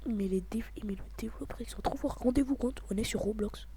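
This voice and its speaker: A man giving casual gameplay commentary, talking in a hushed, half-whispered way, excitable and slangy, repeating his exclamations. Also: high voice, brisk, noisy background. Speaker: gaming commentator.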